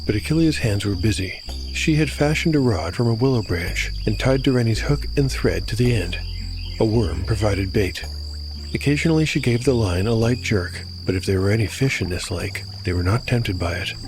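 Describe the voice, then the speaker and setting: A man narrating a scripted audio drama as game master, with a measured storyteller's delivery. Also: on the low side, lively intonation, noisy background. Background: music.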